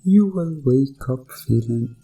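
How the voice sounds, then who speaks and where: A man's voice: mid-range voice, lively intonation, dark tone. A man playing a sinister doctor in a hypnosis ASMR roleplay, soothing and commanding his test subject. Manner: slow, commanding, soothing, deliberate countdown-style delivery.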